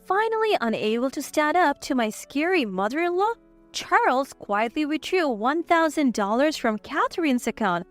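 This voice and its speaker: A woman reading a scripted first-person family drama in an animated, dramatic narrating manner. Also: sits high, moderate pace, soft background music. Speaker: story narrator.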